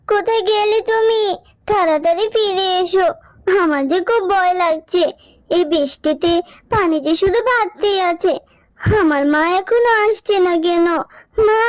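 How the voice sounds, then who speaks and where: Very high-pitched little cartoon girl. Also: no echo. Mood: scared, pleading. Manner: plaintive, childlike, earnest. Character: sweet, frightened, grateful little girl, an animal child. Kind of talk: Bengali children's cartoon acting.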